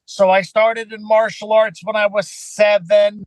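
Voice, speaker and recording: High-pitched man from Sweden, chatting casually. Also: flat delivery, measured pace, no echo, rough recording. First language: Swedish. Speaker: podcast guest, filmmaker and actor. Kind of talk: casual conversational storytelling.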